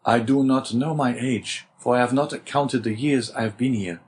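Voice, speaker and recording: A man's voice: mid-range voice, normal speed, a little mellow, slight background noise, close to an everyday voice. A man voicing a desperate young male prisoner, pleading and emotional, in an audiobook reading.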